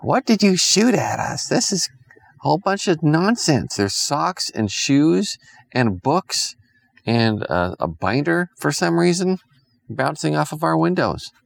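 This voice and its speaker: A man's voice: fairly high pitch, animated, a little slow, vocal fry, voice pushed up, exaggerated voice. A man voicing a sly, gloating old female space witch captain in a bedtime story, with a cackling voice.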